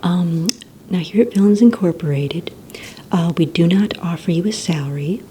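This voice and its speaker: Casting: woman as courteous supervillain-agency receptionist for ASMR roleplay; low, mellow, breathy near-whisper, gentle and organized. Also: normal speed, slight background noise, natural voice. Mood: gentle, businesslike. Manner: gentle, hesitant, courteous interviewer. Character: polite, organized female receptionist at a supervillain recruitment center. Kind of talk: soft-spoken ASMR roleplay.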